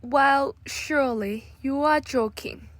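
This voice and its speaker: A stern college registrar played by a woman in a radio play, haughty and disbelieving. She speaks very slowly in a high voice, recorded very dry. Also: dry recording. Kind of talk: amateur radio drama acting.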